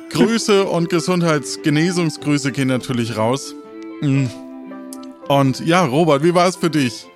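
A man's voice: a little high, unhurried, long pauses. A man hosting an improvised audio adventure podcast as game master, talking casually to players and listeners. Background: light music with guitar.

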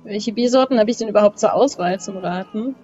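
A woman's voice: medium pitch, fairly quick, dark tone, faint music behind. Slightly muffled woman playing a role-playing game. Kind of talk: casual in-character play.

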